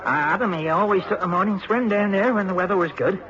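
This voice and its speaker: An actor playing a deferential, plain village police constable in a radio drama. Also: male voice, high-pitched, fast, fairly bright tone. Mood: dutiful. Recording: dull old radio recording.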